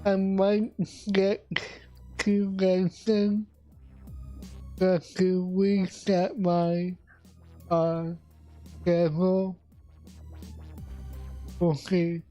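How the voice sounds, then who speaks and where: A woman chatting casually on a game livestream in a halting, drawn-out way that often trails off. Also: on the low side, flat delivery, warm tone, faint music behind. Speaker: game streamer.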